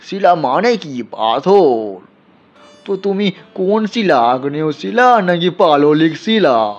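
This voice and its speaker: Man playing a teasing, self-assured young man, slow. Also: on the high side, very animated, soft background music, exaggerated voice. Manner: teasing, quizzing. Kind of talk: audio storybook character acting. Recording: dull, lo-fi sound.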